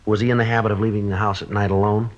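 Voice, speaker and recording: An American actor playing a terse, level-headed police sergeant in an old radio drama, clipped and deadpan. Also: male voice, on the low side, very fast, natural voice. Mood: matter-of-fact. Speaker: detective narrator. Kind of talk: 1950s radio drama, scripted narration. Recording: dull old radio recording, music behind.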